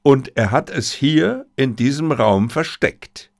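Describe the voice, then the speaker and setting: A man voicing a confident detective in an audiobook, speaking deliberately and politely with theatrical emphasis. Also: medium pitch, lively intonation, vocal fry, no echo, studio quality. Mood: commanding, deliberate. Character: confident, theatrical male detective addressing suspects.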